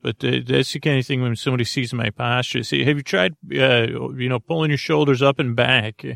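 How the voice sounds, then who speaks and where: A man's voice: mid-range voice, fairly even intonation, a little fast, creaky, a bit bright, dry recording, clean studio sound. A man hosting a sleep podcast, talking in a meandering, self-interrupting way with wry asides. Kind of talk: rambling bedtime podcast intro.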